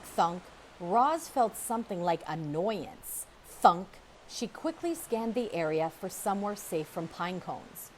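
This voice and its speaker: Unhurried, expressive woman reading a children's story. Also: mid-range voice, slight background noise, low-quality recording. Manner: expressive, measured storytelling with dramatic pauses. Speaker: storybook reader. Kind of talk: children's audiobook read-aloud, scripted narration.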